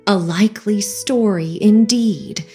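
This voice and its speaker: Woman voicing a shrill fretful mother pigeon. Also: takes it slowly, mellow, soft background music, lightly acted. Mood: contemptuous. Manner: shrill, sobbing complaints. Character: fretful contemptuous female pigeon, shrieking.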